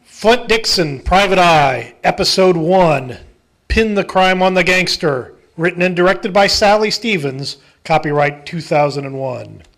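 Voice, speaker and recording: A man's voice: high-pitched, lively intonation, measured pace, a bit bright. A man reading scripted announcer narration for an amateur radio drama.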